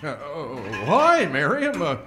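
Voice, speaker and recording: A man's voice: on the high side, wildly swooping pitch, takes it slowly, heavy background noise, heavily acted. A man playing a folksy, bumbling small-town sheriff who rambles and gets easily distracted, in a comedic audio drama. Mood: folksy, rambling.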